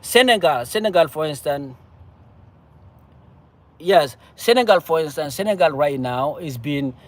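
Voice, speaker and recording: Cameroonian-accented man arguing politics, halting and emphatic. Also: fairly high pitch, expressive, no room sound. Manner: halting, repetitive, emphatic phrasing. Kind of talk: impassioned political discussion, casual and unscripted.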